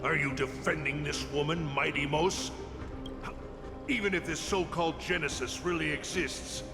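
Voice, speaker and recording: An actor playing a proud, bitter old warrior mentor in a fantasy game, speaking formally and gravely. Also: male voice, on the high side, fairly even intonation, normal speed, lightly acted. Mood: accusing. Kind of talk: dramatic fantasy game acting. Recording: long hall reverb, loud-ish music behind. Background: music.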